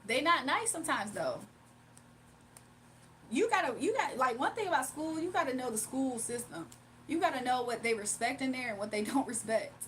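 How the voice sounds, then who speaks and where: A woman's voice: high-pitched, lively intonation, moderate pace, fairly bright tone, slight background noise. A young woman streaming live, chatting casually with her viewers, laughing often and reading out chat messages.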